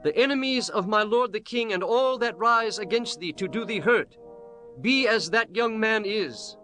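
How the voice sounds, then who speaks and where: A fervent battle messenger addressing royalty. He declaims formally in a high, ringing voice, as in scripture drama, over faint music. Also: moderate pace, bright, forceful tone. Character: fervent male messenger bringing battle tidings to a king.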